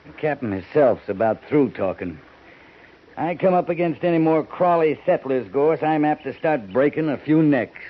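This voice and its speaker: A terse, stern cavalry captain. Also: male voice, fairly high pitch, lively intonation, normal speed. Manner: terse, clipped, commanding. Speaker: lead character. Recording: muffled 1950s radio broadcast with hiss.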